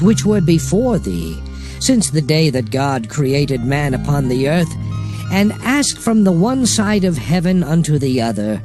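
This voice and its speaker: Casting: grave old male prophet for dramatized scripture, high-pitched for a man, widely swooping declamation. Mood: solemn exhortation. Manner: grave, deliberate, declamatory oratory. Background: music.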